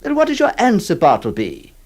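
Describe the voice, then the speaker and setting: An actor playing a middle-aged lawyer who narrates, stammering and theatrical, swinging between mock cheer and pleading. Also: male voice, sits high, wildly swooping pitch, a little fast, a little mellow, heavily acted. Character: middle-aged male lawyer, flustered, exasperated, kindly. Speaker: lawyer employing a scrivener, narrator. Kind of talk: 1960s radio drama acting.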